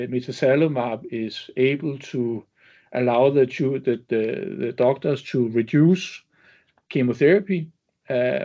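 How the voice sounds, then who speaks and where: A man presenting clinical results as an executive on an investor webinar, speaking haltingly with frequent ums. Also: medium pitch, a little mellow. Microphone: webinar call connection. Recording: dull, band-limited webinar audio.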